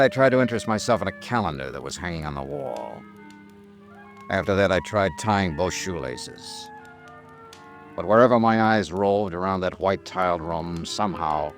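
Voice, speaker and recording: Low, expressive hard-boiled investigator. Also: male voice. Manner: terse, wry, moody. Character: hard-boiled, brooding male insurance investigator narrating his case. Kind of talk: old-time radio noir acting and narration.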